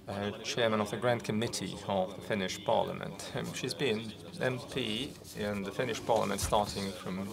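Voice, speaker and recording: Gravelly young moderator, hesitant. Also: male voice, lowish voice. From Estonia. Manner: reads a bio with hesitant fillers. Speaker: session moderator. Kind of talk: formal introduction of a speaker. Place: conference hall, seated at a panel table. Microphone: table gooseneck microphone.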